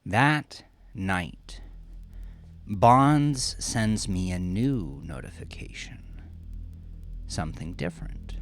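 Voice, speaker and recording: A man narrating a first-person horror story in a confessional, brooding, often hushed manner. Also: low voice, very animated, very slow, vocal fry, long pauses. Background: music.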